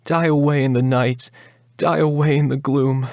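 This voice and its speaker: A man's voice: medium pitch, steady tone, normal speed, a little mellow, no echo, studio quality, lightly acted. Man voicing a grief-stricken, despairing young woman. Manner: anguished, declamatory, lamenting. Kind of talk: dramatic reading of a gothic ballad.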